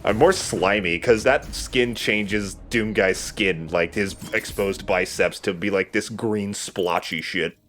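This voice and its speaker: A man's voice: on the low side, slow, few pauses, a bit bright, slight background noise. A man giving casual, rambling gaming commentary as a let's play host, sometimes swearing.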